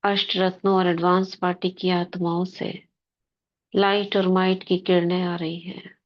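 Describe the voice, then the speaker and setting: A woman leading a guided spiritual meditation, speaking in short, measured devotional phrases with pauses between them. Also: on the low side, flat delivery, muffled recording. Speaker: meditation leader.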